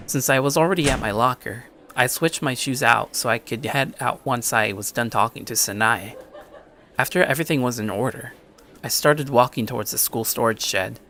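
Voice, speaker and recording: A man playing an anxious, introspective high school boy who narrates his own story. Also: pushed and bright, slight background noise. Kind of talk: first-person scripted story narration. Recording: music under the voice.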